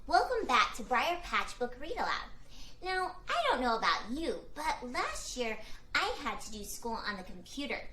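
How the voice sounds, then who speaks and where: A woman's voice: on the high side, big pitch swings, moderate pace, bright, forceful tone. A woman hosting a children's read-aloud, addressing young listeners directly in a chirpy, scripted way. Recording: echoey room, background noise.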